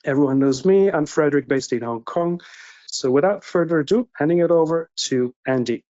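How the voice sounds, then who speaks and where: A man's voice: a little high, a little fast, warm tone. A man hosting a webinar on a video call and introducing the presenters. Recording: dull, lo-fi video call audio.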